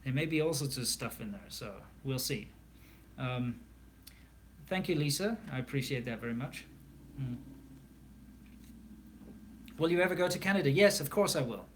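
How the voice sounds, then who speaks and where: A man's voice: medium pitch, animated, normal speed, pauses often, a little hiss or hum. A man from South Africa with a South African English accent, hosting a casual live stream and answering viewer questions in a chatty way, with frequent ums and repeated words.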